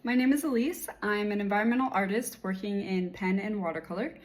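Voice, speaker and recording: A woman's voice: mid-range voice, fairly quick. A young woman from California with an American accent, an artist giving a friendly, conversational sponsored testimonial with occasional fillers.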